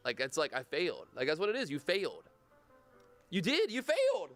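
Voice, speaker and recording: A blunt man argues in a casual podcast, swearing often and pushing his point. His voice sits slightly high and his words come quickly, rushing from one thought to the next. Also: wildly swooping pitch, a bit bright, faint music behind. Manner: blunt, profane, fast-talking. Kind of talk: heated casual chat.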